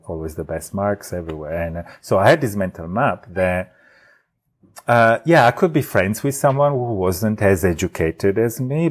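A man from Italy with an Italian accent, telling a personal story as a podcast guest in a halting way with many restarts. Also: low voice. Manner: halting, many restarts and fillers, laughs often. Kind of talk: casual storytelling.

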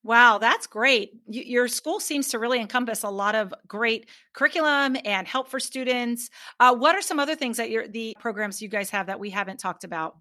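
A woman's voice: on the high side, normal speed, few pauses, bright, forceful tone. A middle-aged woman hosting a casual interview, affirming and recapping what she hears. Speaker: podcast host.

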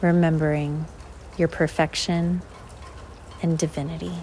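A woman leading a guided visualization in an unhurried, measured way, leaving long pauses between short phrases. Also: low voice, flat delivery, a little mellow, a little hiss or hum. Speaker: guided meditation host.

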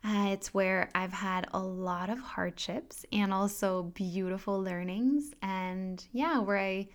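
A woman in her thirties, French but sounding American, hosting a calm wellness podcast in a soft, gentle, unhurried way. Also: medium pitch. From France. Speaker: podcast host and health coach. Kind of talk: calm solo podcast talk with guided breathing.

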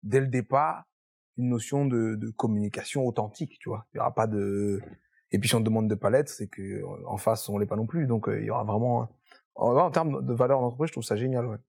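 A man hosting a casual podcast interview, relaxed and teasing. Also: mid-range voice, brisk, creaky, slightly rough. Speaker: podcast host.

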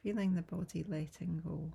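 A woman guiding a yoga nidra relaxation in a soft, breathy, soothing voice, gentle and unhurried with long pauses. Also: lowish voice, steady tone. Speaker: yoga nidra meditation guide. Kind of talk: guided relaxation meditation.

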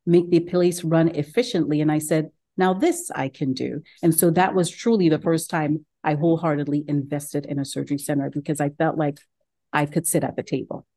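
A woman from Ghana in her forties speaks in a low, mellow voice. She answers interview questions in an earnest, steady way and often checks in with "right?". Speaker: gastroenterologist and practice owner, guest. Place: home.

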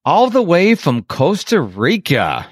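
A middle-aged man with a fairly high voice, talking loosely and warmly about his own missteps as he hosts a podcast. The sound is dry and close, with no room around it. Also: huge pitch swings, takes it slowly, no echo. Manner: chatty, self-deprecating, tells personal stories. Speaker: podcast host. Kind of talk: casual interview chat.

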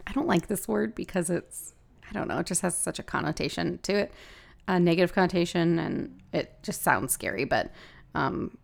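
A woman with a gravelly voice hosting a podcast, talking casually and haltingly as she thinks aloud. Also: fairly low pitch, animated. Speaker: podcast host and hypnosis practitioner. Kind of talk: casual solo podcast talk.

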